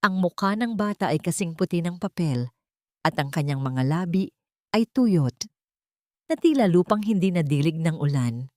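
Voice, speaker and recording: A woman's voice: lowish voice, expressive, normal speed, dry recording, studio quality. A woman narrating a scripted drama audiobook in a solemn, suspenseful manner.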